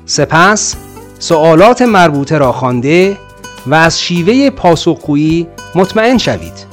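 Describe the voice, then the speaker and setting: A man's voice: a little high, big pitch swings, racing, soft background music. A man reading formal exam instructions as the announcer of a listening test.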